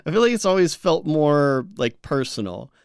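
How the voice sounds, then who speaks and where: A man co-hosting a podcast in casual chat, speaking haltingly and often restarting his sentences. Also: a little high, sing-song, vocal fry, clear, resonant tone, dry recording, clean studio sound. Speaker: podcast co-host.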